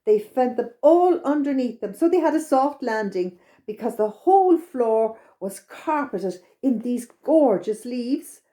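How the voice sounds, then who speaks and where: A woman's voice: a little high, lively intonation, a little slow, mellow. A woman with an Irish accent narrating a children's storytime expressively, exclaiming and repeating things for young listeners.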